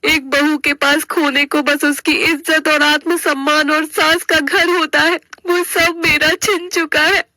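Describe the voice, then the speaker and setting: A woman's voice: sits high, flat delivery, measured pace, few pauses, bright, forceful tone, dry recording, rough recording. A woman playing a young, earnest daughter-in-law in a family story, pleading and emotional. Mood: worried.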